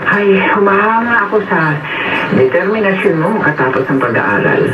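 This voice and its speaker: A woman's voice: mid-range voice, very animated, normal speed, heavy background noise, natural voice. A woman acting a kindly, motherly landlady in a radio drama, coaxing and encouraging, with a Filipino accent. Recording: roomy, distant, dull radio recording with music.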